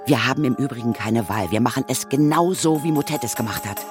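An actress playing a stern, commanding veteran ghost hunter in a children's radio play. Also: female voice, rumbling low, quick pace, music in the background. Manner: stern, no-nonsense.

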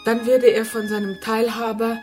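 Actress as a frail, higher-voiced deceived woman. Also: flat delivery, moderate pace, over music, close to an everyday voice. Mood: plaintive. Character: frail, faint-hearted woman, deceived fiancée giving testimony. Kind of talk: German radio crime drama acting.

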